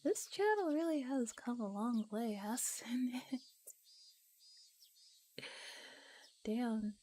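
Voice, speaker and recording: A woman with a mellow, dark-toned voice chats casually on a relaxed stream. She speaks softly and slips now and then toward a whisper, with a giggly manner. Faint background noise sits behind her. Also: on the high side, extremely slow. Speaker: streamer reading visual novels aloud. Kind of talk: relaxed casual livestream chat, ASMR.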